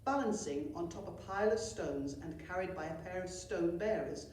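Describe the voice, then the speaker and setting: Woman, mellow and lowish voice, expressive storytime reading, teacher, very echoey distant recording. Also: normal speed, a little hiss or hum. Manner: animated, theatrical delivery. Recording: very echoey room, distant mic.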